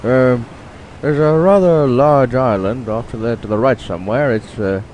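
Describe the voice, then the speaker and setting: A man's voice: mid-range voice, moderate pace, darkish tone, a little hiss or hum, natural voice. A man playing a thoughtful, uneasy hunting companion in an old-time radio drama, speaking in a hesitant, musing way.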